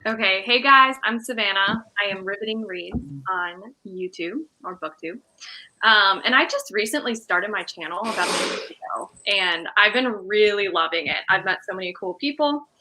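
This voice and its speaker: A woman's voice: mid-range voice, lively intonation, normal speed, pushed and bright, slight background noise, low-quality recording. A woman introducing herself as a book vlogger, bubbly and giggly, trailing off at the ends. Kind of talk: casual self-introduction.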